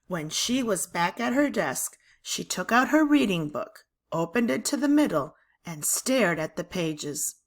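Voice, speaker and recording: A woman reading a children's novel aloud in expressive, deliberate narration. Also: medium pitch.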